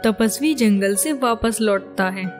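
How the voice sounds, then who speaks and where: A woman's voice: fairly high pitch, a little slow. A woman reading scripted narration for a moral cartoon story. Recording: music behind the voice.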